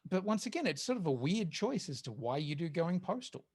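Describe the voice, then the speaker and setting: A podcast panellist with a mid-range voice talks casually among a group. The delivery is halting and hesitant, feeling its way through each thought. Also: medium pitch, sing-song, a little mellow, husky.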